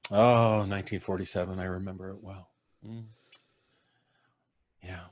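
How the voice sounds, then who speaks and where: A man's voice: low voice, steady tone, normal speed. A man hosting a live podcast stream, introducing his guests with jokes and a rambling, playful manner. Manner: jokey, rambling, laughs at his own quips. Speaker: podcast host. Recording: music playing in the background.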